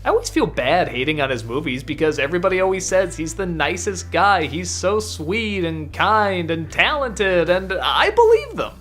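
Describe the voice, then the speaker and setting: A man's voice: high-pitched, big pitch swings, normal speed, hardly any pauses, fairly bright tone, faint music behind. A man giving scripted film review commentary in a conversational, wryly opinionated way.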